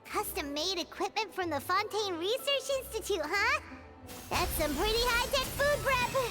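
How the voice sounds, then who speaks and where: A woman voicing a small childlike fairy companion in a video game, perky, blunt and squeaky, with exclamatory, cheeky delivery. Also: expressive, moderate pace. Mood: impressed. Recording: loud game music behind.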